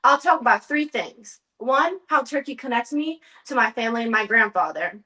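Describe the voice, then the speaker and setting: A woman's voice: a little high, expressive, normal speed, bright, forceful tone. Earnest young woman giving class slides talk. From United States. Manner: earnest, occasional restarts and ums. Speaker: student presenter.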